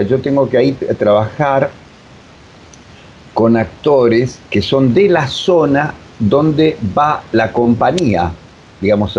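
A man with a Buenos Aires Argentine accent, a drama director explaining his work in an interview in a digressive, emphatic way. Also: a little mellow. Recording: dull, noisy lo-fi audio with rumble.

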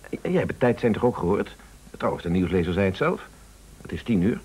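Radio play husband: low voice, animated, stubborn, indignant, bossy. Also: male voice, fast, breathy edge, full character voice, poor audio. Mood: agitated, bossy. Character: fussy, obstinate husband puzzled by his radio. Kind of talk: Dutch radio play acting.

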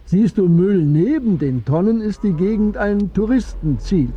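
Wise old shopkeeper in a radio play, soft mellow highish voice, bit muffled. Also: male voice, expressive, breathy edge, heavily acted. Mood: serene, wise. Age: older. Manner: unhurried, philosophical, aphoristic. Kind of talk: radio drama acting.

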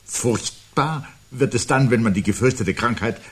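An actor playing a brooding, anxious man who prophesies the end of life, speaking gravely and deliberately with a husky voice in a 1970s radio play. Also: mid-range voice. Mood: haunted, brooding. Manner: grave, deliberate, articulate, philosophical monologuing.

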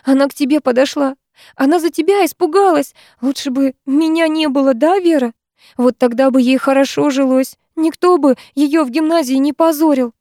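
A woman's voice: high voice, normal speed, warm tone, no room sound, clean studio sound, pitched above the performer's own voice. A woman reading an audiobook, voicing a desperate teenage schoolgirl who pleads through tears with a breaking voice. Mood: tearful. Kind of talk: audiobook character voice.

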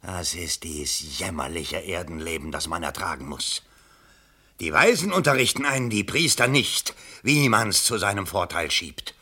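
A man's voice: low-pitched, lively intonation, normal speed, breathy edge, exaggerated voice. An actor playing a desperate, vain middle-aged father and political candidate in a theatrical radio play. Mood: agitated brooding. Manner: theatrical, pleading, swinging from hushed to frantic. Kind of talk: stage-like German radio play acting.

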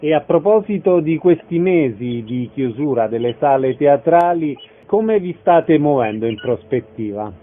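A man's voice: a little high, expressive, a little slow, few pauses, narrow, tinny audio. A man presenting radio interviews as host, in an orderly, courteous way. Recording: thin band-limited sound, faint noise.

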